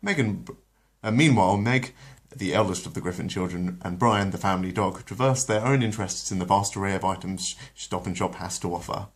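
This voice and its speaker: A man with a low voice reads a cartoon episode as a bedtime story. Between readings he rambles casually, correcting himself. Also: brisk. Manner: rambling with fillers, self-correcting. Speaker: channel host and story reader. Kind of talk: casual intro, then storybook narration.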